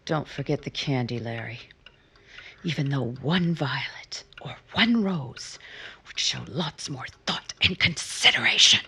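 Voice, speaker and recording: Woman acting a breathy, wistful wife in radio romance. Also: extremely low pitch, lively intonation, a little slow, sharp tone, heavily acted. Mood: quietly bitter. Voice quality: breathy, husky, often half-whispered. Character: disillusioned married woman, wistful, bruised, romantic, gently challenging. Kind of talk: 1950s radio drama acting.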